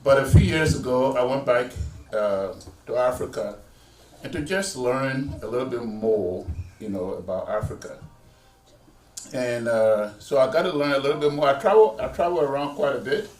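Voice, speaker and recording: A middle-aged man addresses a graduation ceremony in a loose, conversational way, often pausing on fillers and restarting his sentences. His voice sits at a middle pitch and is picked up from some distance in an echoey hall, with faint noise underneath. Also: expressive, darkish tone. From Liberia. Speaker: guest speaker, pastor. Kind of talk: ceremony speech.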